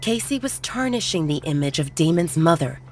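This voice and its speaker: A woman's voice: low-pitched, sing-song, moderate pace, darkish tone, soft background music. A woman reading scripted fiction as an audiobook narrator.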